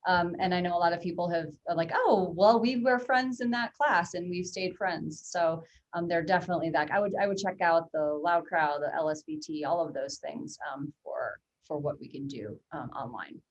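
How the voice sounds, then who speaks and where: A woman's voice: on the low side, moderate pace, lo-fi sound. A woman hosting a webinar, reading viewer questions aloud with frequent fillers. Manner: friendly, reads questions with many fillers. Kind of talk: casual webinar Q&A.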